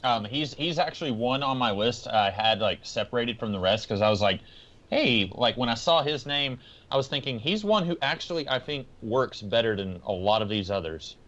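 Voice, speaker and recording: A slightly muffled, lo-fi recording of a man with a medium-pitched voice. He talks as a podcast panelist in a casual discussion, laying out his reasoning in a conversational, explanatory way. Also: animated, moderate pace, a bit bright.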